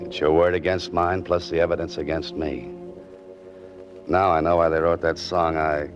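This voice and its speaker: A man acting a cool, wry writer wanted for murder, deadpan and wisecracking, in the style of a 1940s radio detective drama. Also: low-pitched, moderate pace, over music, natural voice. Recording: muffled old-time radio recording.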